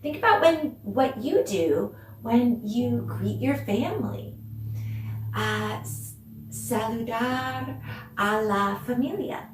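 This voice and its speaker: Slow-paced woman teacher in an echoey room. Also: fairly high pitch, faint music behind. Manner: encouraging. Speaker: dance teacher. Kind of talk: children's online arts lesson, addressing viewers. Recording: echoey room, distant mic, faint noise.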